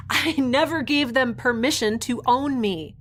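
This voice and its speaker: A woman's voice: high-pitched, slow, a bit bright, a little hiss or hum. A woman playing a defiant, wry young rebel on the run in a science fiction audio drama, chatty and given to sarcastic asides.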